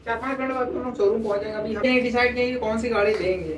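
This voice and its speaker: A man's voice: very high-pitched, steady tone, very fast, poor audio. A young man filming a casual vlog on a phone held at arm's length, chatting and teasing his friend in slangy banter as he walks through city streets and markets. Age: young adult. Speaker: vlogger. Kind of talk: casual handheld travel vlog. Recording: phone recording with loud crowd. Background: crowd noise.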